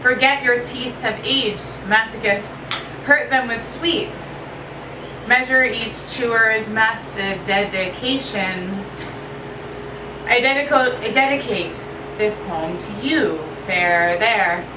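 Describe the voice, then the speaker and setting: A woman poet giving an expressive live poetry reading at an evening event. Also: takes it slowly. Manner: expressive, shifting pitch and pace for effect. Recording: roomy echo from far off with noise.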